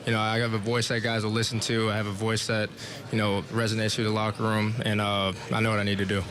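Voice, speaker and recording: Low-voiced young man, halting with fillers. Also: monotone, a crowd in the background. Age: young adult. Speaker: college basketball player. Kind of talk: media day interview answers. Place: media day event.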